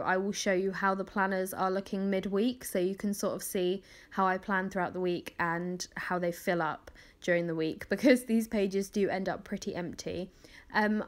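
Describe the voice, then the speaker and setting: A young woman in her twenties chatting casually through a planning video voice-over, rambling with many ums and small laughs, her voice crackly from early morning. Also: mid-range voice. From England. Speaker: planner vlogger.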